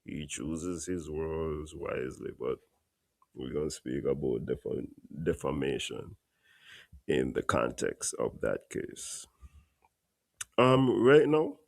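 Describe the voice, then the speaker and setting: A Caribbean man hosting a live political commentary show, a little stuffy from a cold, talking plainly and bluntly in a long unhurried monologue. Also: rumbling low, gravelly, a little mellow, dry recording. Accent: Caribbean English. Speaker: host. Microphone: home studio mic.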